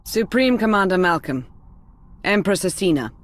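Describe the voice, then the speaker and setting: An actress playing a composed, dry, shrewd adviser to a commander in a video game, measured and formal. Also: female voice, fairly low pitch, lively intonation, natural voice. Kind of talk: cinematic video game acting.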